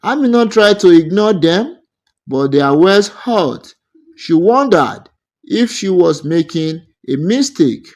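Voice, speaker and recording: A man narrating a scripted romantic folktale as a storyteller, in deliberate, measured short phrases. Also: sits high, lively intonation, a little mellow.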